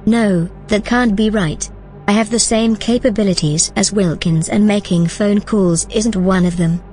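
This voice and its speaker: An actress plays a calm female machine mind in an audio drama. Her middle-pitched voice stays composed and matter-of-fact, with faint music behind it. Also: a little slow, close to an everyday voice. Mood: calm, reasoning.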